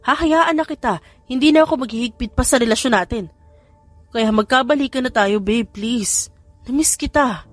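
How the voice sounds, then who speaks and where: A man's voice: extremely high pitch, normal speed, a bit bright, dry recording, soft background music, pitched above the performer's own voice. A woman voicing a desperate, lovesick young man who pleads with his ex in a storytelling audiobook. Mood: pleading.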